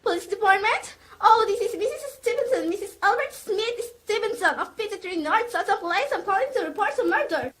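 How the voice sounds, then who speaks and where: A woman's voice: very high voice, expressive, fairly quick, few pauses, fairly bright tone, slight background noise. A woman playing a frantic, imperious, self-pitying invalid wife in a melodramatic radio play, agitated and demanding. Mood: urgent, pleading.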